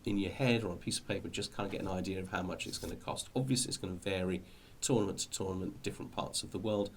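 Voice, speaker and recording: Middle aged british guy, lowish voice, chatting to viewers, kinda rambly and hesitant, repeats words. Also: fast talker, slight background noise. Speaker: hobby video host. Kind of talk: informal explanatory talk to viewers.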